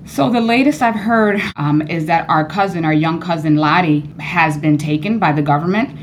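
A woman born in Cuba speaks as a guest in a radio interview, with a voice that sits slightly low. She talks earnestly and grows animated, leaping higher when she presses a point. A faint low rumble sits under her voice. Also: normal speed, low-quality recording. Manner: earnest, animated, rising high when emphatic. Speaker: professor and author, guest.

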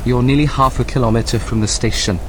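An actor playing a literal, unflappable station computer assistant with a formal, deadpan manner in a science-fiction audio drama. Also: male voice, medium pitch, fast, noisy background, lightly acted. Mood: deadpan, matter-of-fact.

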